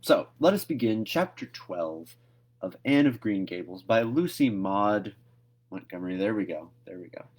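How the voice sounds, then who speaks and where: A man in his thirties with a fairly low voice. He hosts a read-aloud series, moving between casual chat and plain storybook narration. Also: animated, normal speed, darkish tone.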